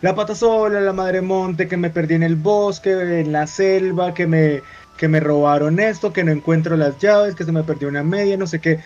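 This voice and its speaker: A man's voice: high voice, steady tone, normal speed, darkish tone. A man co-hosting a talk show, giving his opinion and rattling off examples. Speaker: co-host. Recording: slightly dull lo-fi audio.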